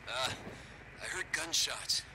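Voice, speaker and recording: A man plays a hurt American biker sidekick in a video game. His voice is medium in pitch, and he comes across as a rough but loyal friend. There is clear noise behind the voice. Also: takes it slowly, lightly acted. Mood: alert, wary. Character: injured, loyal biker buddy, rough-mannered male survivor.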